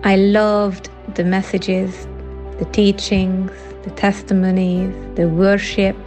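A woman's voice: mid-range voice, level tone, takes it slowly, darkish tone, soft background music. A woman from Hungary giving an earnest, reflective personal testimony.

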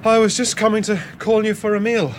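An actor playing Andrew, a jumpy man who is quick to worry, in a radio drama. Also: high voice, fairly even intonation, very noisy, close to an everyday voice. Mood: anxious. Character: Andrew, anxious brother apostle, adult man.

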